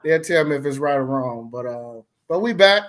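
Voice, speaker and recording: A man's voice: medium pitch, expressive, moderate pace. Playful, slangy podcast host, a man. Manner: playful, slangy, hyping up the show. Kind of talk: casual podcast chat.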